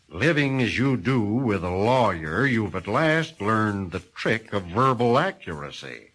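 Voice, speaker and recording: A man voicing a teasing older lawyer husband who drawls in an unhurried, wryly humorous way in a spoken horror story. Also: on the low side, fairly bright tone, breathy edge, heavily acted, rough recording.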